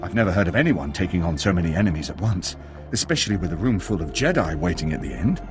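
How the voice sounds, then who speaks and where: Game role: rattled, dutiful male intelligence agent, clipped and deferential, very lively intonation. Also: low-pitched, quick pace, music in the background. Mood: earnest, deferential. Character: rattled, earnest male military spy for the Sith. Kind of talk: video game cutscene acting.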